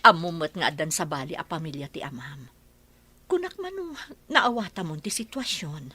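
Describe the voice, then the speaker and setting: A woman voicing a worried mother raising her daughter on her own, with a husky voice. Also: deep voice, big pitch swings, moderate pace, bright, forceful tone, heavily acted. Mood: gentle.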